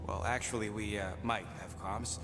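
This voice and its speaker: Actor as cranky wisecracking space outlaw, reverberant. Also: male voice, on the low side, fairly even intonation, moderate pace, over music, lightly acted. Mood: sarcastic. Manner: sarcastic, slangy. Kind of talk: video game character acting.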